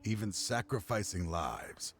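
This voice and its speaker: An actor playing a grave, grieving father and rival in a video game, speaking in a deliberate, dramatic way. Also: on the low side, lively intonation. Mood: sorrowful, reflective.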